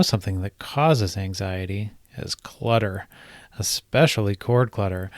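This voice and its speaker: A man's voice: on the low side, no room sound, studio quality. A man hosting a podcast, reading an ad and interviewing a guest in a friendly, encouraging way. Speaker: podcast host.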